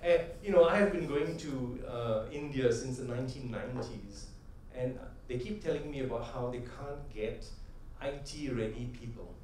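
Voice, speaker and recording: A man's voice: mid-range voice, moderate pace, hardly any pauses, darkish tone, a little hiss or hum. A middle-aged man giving a conversational conference talk full of anecdotes, often tagging his points with "right?" and building to rhythmic, emphatic punchlines. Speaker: convention speaker. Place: large convention hall. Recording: echoey hall, voice from a distant mic.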